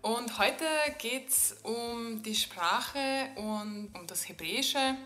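A woman's voice: on the high side, very slow, pushed and bright. A woman co-hosting a podcast who introduces the episode and asks friendly interview questions. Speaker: podcast co-host. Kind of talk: casual podcast chat. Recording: roomy, distant, faint music.

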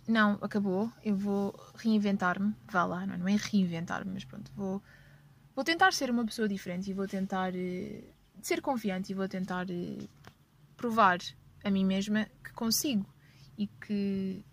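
A woman's voice: mid-range voice, steady tone, moderate pace, a little hiss or hum. Young portuguese woman podcasting in her car, chatty, laughs a lot, keeps correcting herself. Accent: European Portuguese. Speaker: podcast host. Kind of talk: casual personal storytelling. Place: parked car.

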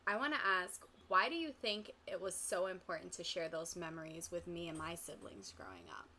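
A woman's voice: lowish voice, lively intonation, brisk, sharp tone. A young woman acting as interviewer, asking prepared questions in formal, appreciative wording, with some background noise. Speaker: interviewer, interviewing own father.

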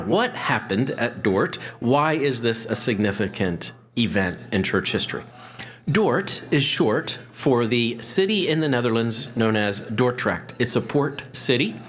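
A man with a medium-pitched voice presents a prepared history lesson as host. He explains clearly step by step, adding small asides to clarify terms. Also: a bit bright. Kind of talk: prepared educational history talk.

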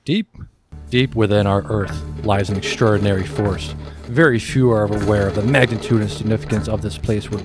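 A man's voice: fairly low pitch, close to an everyday voice. A man giving a dramatic reading as a solemn, portentous, theatrical narrator of a grandiose proclamation. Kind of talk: dramatic reading over spooky music. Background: spooky music.

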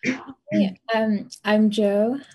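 A young woman from Wales answers as a panellist in a husky, breathy voice. She hesitates often, stopping and restarting with ums. Her pitch sits in the middle. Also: extremely slow, dark tone. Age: young adult. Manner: hesitant, with many ums and false starts. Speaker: youth church panellist.

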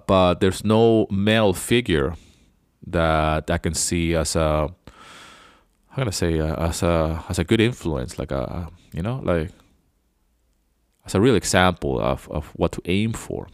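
Very deep-voiced man rambling. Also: no room sound, clean studio sound. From Venezuela. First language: Spanish. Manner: rambling, loose and chatty. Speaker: podcast host. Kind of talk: casual reflective monologue.

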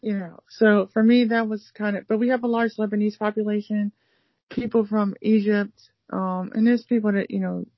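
A woman's voice: mid-range voice, steady tone, moderate pace, no echo, muffled recording. A woman from the United States chatting casually about learning languages, rambling with many fillers and restarts. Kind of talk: casual conversation.

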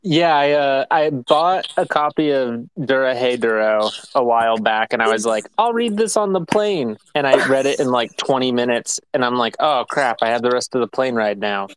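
A man's voice: medium pitch, moderate pace, hardly any pauses, smooth, no room sound, rough recording. A man from the United States hosting a casual podcast interview, asking easygoing, curious questions. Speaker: podcast host.

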